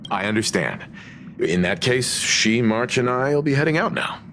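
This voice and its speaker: An actor voicing a courteous, steady gentleman who speaks in a polite, measured and formal way. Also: medium pitch, slight background noise, natural voice. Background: game music.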